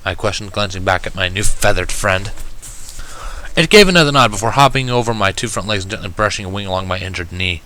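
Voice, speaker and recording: Bright-voiced man narrating a story. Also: lowish voice, lively intonation, fast talker, rough recording. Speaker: fan fiction reader. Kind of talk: first-person story narration, read aloud.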